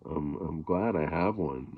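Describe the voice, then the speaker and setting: Gravelly low-voiced man, tinny sound. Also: slight background noise. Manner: rambling, many fillers and throat clearing. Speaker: blues harmonica player. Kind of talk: casual talk to camera.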